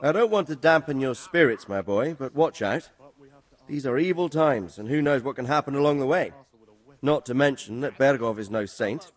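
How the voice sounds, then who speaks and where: Man acting a protective, measured medieval father. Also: medium pitch, expressive, natural voice. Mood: concerned. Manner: measured, instructive. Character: protective, wise noble father advising his son. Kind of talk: dramatic game cutscene acting.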